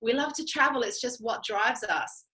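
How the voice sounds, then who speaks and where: Australian female, fairly high and sharp-edged, conversational testimonial delivery with plenty of emphasis. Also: lively intonation, normal speed. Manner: chatty, emphatic, addresses listeners directly. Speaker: guest speaker telling personal story.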